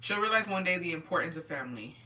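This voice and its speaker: A woman's voice: fairly low pitch, animated, fast, sharp tone. A woman in her twenties hosting a casual livestream, tipsy, chatting with viewers in slangy talk with swearing and Spanish words mixed in. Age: 20s. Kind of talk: casual livestream chat, reading and answering comments. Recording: voice distant from the microphone.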